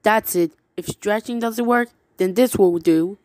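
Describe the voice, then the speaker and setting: A woman's voice: mid-range voice, measured pace, noisy background. A woman giving theatrical, exclamatory reactions as a player in a horror parody.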